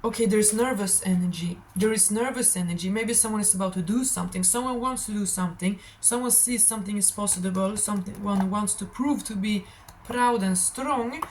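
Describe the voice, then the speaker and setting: A young woman, non-native English speaker, with a slightly raspy voice, chatting casually and telling stories in a scattered, animated way as she hosts a tarot reading. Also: medium pitch, a little fast, slight background noise. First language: not English. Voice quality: a little raspy. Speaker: tarot reader hosting a stream. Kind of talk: casual rambling monologue.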